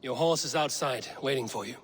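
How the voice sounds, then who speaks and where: A man's voice: mid-range voice, a little fast, lightly acted. A man playing a stern, battle-hardened Viking warrior with a dry, teasing streak in a video game. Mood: calm, firm. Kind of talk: video game dialogue acting. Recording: game dialogue under clear outdoor background noise.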